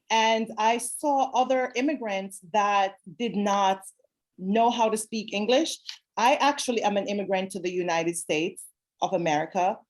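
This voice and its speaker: A woman teacher from Jamaica speaking on a video call, earnestly explaining classroom methods and repeating key phrases. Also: a little high. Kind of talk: zoom talk answering questions.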